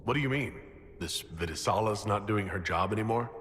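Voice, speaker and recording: An actor playing a questioning, dry-witted leader in a video game, with a direct, probing manner. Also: male voice, fairly low pitch, a little fast, a bit bright, slight background noise, close to an everyday voice. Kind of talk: dramatic video game dialogue. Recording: long reverb.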